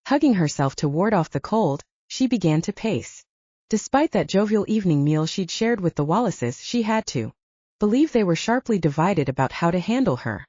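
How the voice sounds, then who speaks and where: Woman audiobook narrator, low and mellow, dull dry lo-fi sound. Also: big pitch swings, moderate pace, no echo. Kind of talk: scripted narration.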